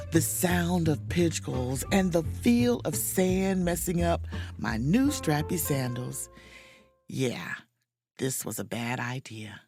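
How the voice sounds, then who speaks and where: A woman acts a wry, overworked female starship officer trying to relax, in a romantic comedy audio drama. Her voice swings widely in pitch, lingers in its slow pace, and breaks into awkward muttered asides. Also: full character voice. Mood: wistful, eager, then wry, exasperated.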